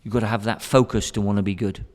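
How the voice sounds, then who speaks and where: A man's voice: lowish voice, fairly even intonation, fast talker, a little mellow. A middle-aged British man speaking casually as a podcast guest, rambling and often repeating words as he restarts sentences. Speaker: podcast guest, school academic director. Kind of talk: casual interview talk. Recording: hall reverb.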